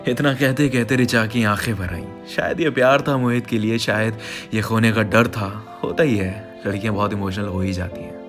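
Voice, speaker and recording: A man's voice: fairly low pitch, normal speed. An Indian man telling an emotional scripted story in an earnest, conversational way. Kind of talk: dramatic, emotional scripted narration of a sad story. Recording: voice over background music. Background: music.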